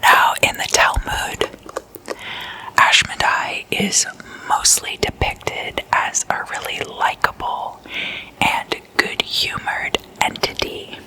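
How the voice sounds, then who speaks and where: An ASMR host whispering casually in a breathy, intimate way, softly lingering over words as if telling stories to a friend. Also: slow, low-quality recording.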